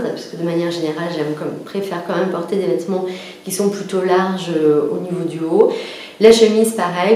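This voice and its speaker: A woman's voice: fairly low pitch, dark tone. A woman vlogger chatting casually to camera about fashion, listing items with frequent "euh" fillers. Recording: very echoey room with faint noise.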